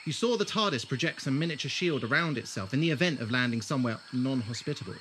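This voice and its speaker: An actor playing an eccentric, wordy alien time traveller in an audio drama, grandiose and wry. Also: male voice, mid-range voice, expressive, fast, a bit bright, slight background noise, lightly acted. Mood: wry, teasing.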